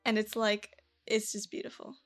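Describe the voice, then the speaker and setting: A woman's voice: mid-range voice, completely flat delivery, moderate pace. A woman streaming while she animates, chatting in an easygoing, giggly way and often trailing off.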